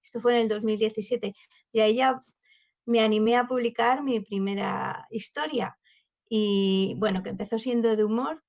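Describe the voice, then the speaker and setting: A woman's voice: on the high side, fairly even intonation, moderate pace, a little mellow. A woman in her forties from Granada in Spain, speaking as a guest writer in a casual, chatty panel talk. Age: 40s. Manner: chatty, rambling with small fillers. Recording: muffled lo-fi video call.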